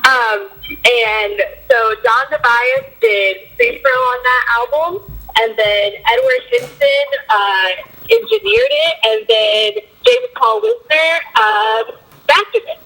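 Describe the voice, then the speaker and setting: A young woman in a band, a bit hoarse from a cold, answering interview questions in a loose way with lots of fillers. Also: high-pitched, very animated, slow pace, pushed and bright, rough recording. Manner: loose, filler-heavy talk with frequent likes and ums. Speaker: band member.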